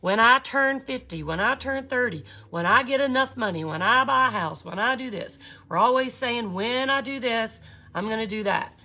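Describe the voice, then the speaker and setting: A woman's voice: on the high side, normal speed, pushed and bright. A cheerful woman, teaching glass art on a livestream and chatting with viewers. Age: 50s.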